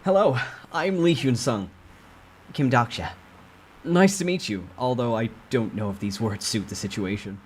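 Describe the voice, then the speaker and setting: A man's voice: mid-range voice, moderate pace, a little hiss or hum, close to an everyday voice. A man voicing a humble young soldier in a storybook reading, polite, sincere and a little hesitant. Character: earnest, courteous, humble young male soldier.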